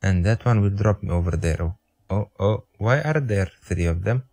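A man's voice: fairly low pitch, animated, moderate pace, darkish tone. A young man whose first language is Romanian, casually commenting on a game, thinking aloud, joking about his own intellect and pleading with the game. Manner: self-mocking, talks to the game, thinks aloud. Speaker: gameplay commentator.

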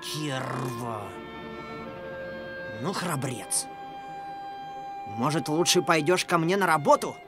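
Slow, sneering gang bully. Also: male voice, fairly high pitch. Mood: menacing. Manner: taunting, drawling. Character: sneering young street gang leader, mocking bully. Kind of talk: Soviet radio play acting. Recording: old radio play with music underneath.

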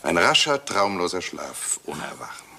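An actor playing a suave Italian doctor who talks of poisons with polite, sardonic relish in a radio play. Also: male voice, low-pitched, big pitch swings, normal speed, vocal fry, pushed and bright, slightly rough, heavily acted. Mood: morbidly amused. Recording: hissy old radio play recording.

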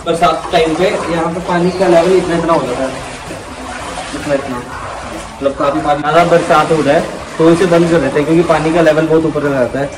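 A man's voice: on the high side, steady tone, a little fast, noisy background. A young man, narrating a casual travel vlog to his viewers, mixing in English words. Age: young adult. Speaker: travel vlogger. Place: outdoors at a stream and rocky gorge. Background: loud music.

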